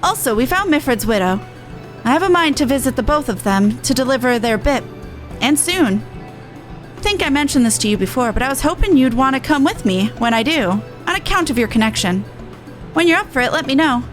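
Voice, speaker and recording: Brisk, earnest charity merchant for a game, high female voice, colloquial and plain-spoken, played by a woman. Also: expressive, soft background music. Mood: determined. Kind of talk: reading video game dialogue in character.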